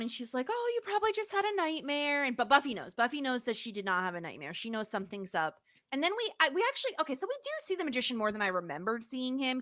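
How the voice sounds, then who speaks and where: A woman hosting a podcast, recapping a TV episode in casual chat and telling it in an animated storytelling way. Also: a little high. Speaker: podcast host.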